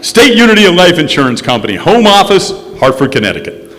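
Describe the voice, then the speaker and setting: A man's voice: high voice, very animated, fast, a bit bright, voice pushed up. A man playing a weary, wry insurance investigator in old-time radio detective style on stage. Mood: brisk, wry. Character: hard-boiled, weary male insurance investigator. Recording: echoey hall, live stage.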